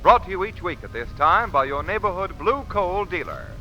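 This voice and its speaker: A man's voice: high voice, big pitch swings, moderate pace, sharp tone, dull audio. An American man announcing in an emphatic, formal, scripted 1940s radio style. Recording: old radio recording, faint noise.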